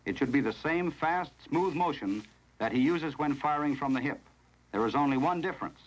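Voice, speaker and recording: An American man with a slightly high-set voice narrates an instructional film from the 1970s. His delivery is formal, scripted and measured, giving clear instructions. It has the sound of a vintage film soundtrack. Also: expressive, poor audio.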